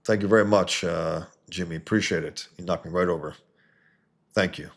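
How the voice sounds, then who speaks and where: A man from New York hosting a casual livestream, reading viewer comments and answering in a halting way that restarts and repeats words. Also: deep voice, vocal fry. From New York, USA. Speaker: livestream host and comic artist.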